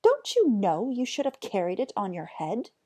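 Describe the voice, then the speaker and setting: A woman voicing an exasperated mother from a storybook, scolding shrilly with drawn-out words. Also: medium pitch, huge pitch swings, warm tone. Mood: shrill exasperation. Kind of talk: storybook character voice.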